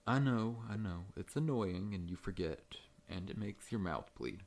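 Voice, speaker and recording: Man voicing a very deep, gentle monster dentist. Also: animated, normal speed, vocal fry, heavily acted. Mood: friendly, reassuring. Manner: gentle, reassuring, professional bedside talk. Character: large, friendly, scorpion-like monster dentist with many legs. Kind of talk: ASMR roleplay.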